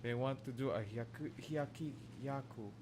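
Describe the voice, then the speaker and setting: A man's voice: mid-range voice, normal speed, noisy background. A man giving loose, casual playthrough commentary as a game streamer, thinking aloud as he goes. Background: game music.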